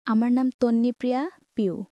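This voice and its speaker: A woman's voice: a little high, fairly even intonation, moderate pace, warm tone, no echo. A woman of twenty-three with a Bangladeshi Bengali accent, telling her personal life story as an interview guest.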